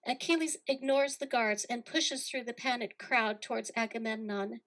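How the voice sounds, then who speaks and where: A woman's voice: a little high, normal speed, fairly bright tone. A woman reading stage directions as scripted narration for a play.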